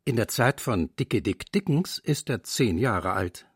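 A man narrating a scripted radio feature with a lively, slightly wry delivery. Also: medium pitch, measured pace, breathy edge, no room sound, clean studio sound. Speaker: documentary narrator.

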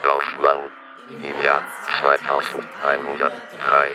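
A man's voice: a bass voice, completely flat delivery, slow, background noise. A man solemnly announcing scripted titles as an announcer, with music behind him.

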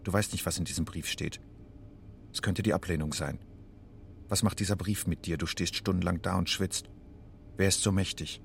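A man's voice: sits low, fairly even intonation, normal speed, gravelly, pauses often, a little hiss or hum. An actor playing a grave man who insistently addresses a war refugee in a scripted dramatic monologue. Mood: grave, tense.

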